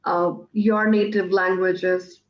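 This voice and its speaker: A woman from Pakistan whose first language is Urdu asks interview questions over a call. She speaks very slowly and often stalls while searching for words. Her audio is echoey and muffled. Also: lowish voice, level tone. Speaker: host, interviewer.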